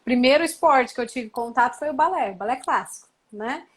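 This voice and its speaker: Reflective woman in her thirties, fairly high voice. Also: expressive. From Brazil. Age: 30s. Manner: reflective, measured, adds tag questions. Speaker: guest, doctor and co-author. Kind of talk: casual interview answers.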